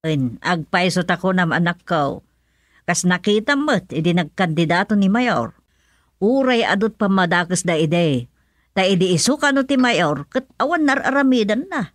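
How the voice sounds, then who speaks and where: A woman's voice: fairly low pitch, lively intonation, moderate pace, no echo, clean studio sound, close to an everyday voice. A woman with an Ilocano accent playing an opinionated, motherly villager in a radio comedy-drama, colloquial and gossipy. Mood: disapproving.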